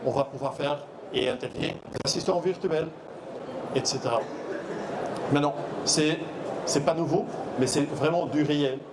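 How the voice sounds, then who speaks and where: Belgian man presenting over hall noise. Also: a little high. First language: not French. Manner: halting, many fillers and restarts. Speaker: conference speaker. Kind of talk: trade show presentation. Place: trade fair hall. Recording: noisy trade fair hall.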